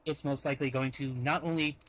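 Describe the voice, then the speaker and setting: A young man in casual online roleplay, speaking deliberately and restarting phrases for emphasis. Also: mid-range voice, fairly even intonation, fairly bright tone, slight background noise. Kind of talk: casual improvised roleplay chat. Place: online virtual-reality chat.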